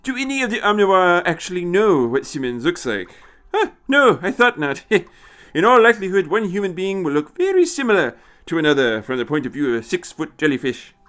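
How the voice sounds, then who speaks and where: A man voicing a fussy, scornful old time traveller, excitable and chiding, with hesitant little interjections, in an audio story. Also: high voice, fairly quick, hardly any pauses, smooth, voice pushed up. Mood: scornful, excited.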